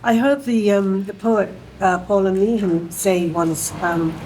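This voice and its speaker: A woman, speaking on a panel in a thoughtful way, with many pauses and fillers. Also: mid-range voice, darkish tone, a little hiss or hum, poor audio. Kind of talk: panel discussion.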